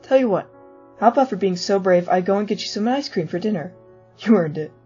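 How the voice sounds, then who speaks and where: A woman's voice: mid-range voice, animated, a little fast, mellow, breathy edge. A woman playing a protective, wisecracking teenage skeleton big brother, casual and tender, in a fan comic dub. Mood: tender, proud. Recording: muffled lo-fi audio over music.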